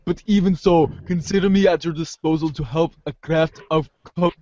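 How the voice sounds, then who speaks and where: A man's voice: on the high side, fairly even intonation, normal speed, darkish tone, no room sound, muffled recording, close to an everyday voice. A man voicing a haughty, wordy teenage girl with pompous, florid phrasing in an amateur comic script reading. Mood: grandiose. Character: haughty, verbose teenage girl, snarky intellectual.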